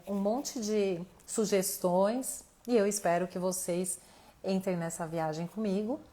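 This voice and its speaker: A Brazilian woman speaks as a mentor leading an informal live lesson. Her pitch sits in an average female range. She addresses listeners directly and thinks out loud as she explains, her voice a little worn from talking. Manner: conversational, addresses the audience directly, thinks aloud. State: voice tiring, losing it slightly. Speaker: mentor hosting an online live class. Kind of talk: informal motivational teaching to camera.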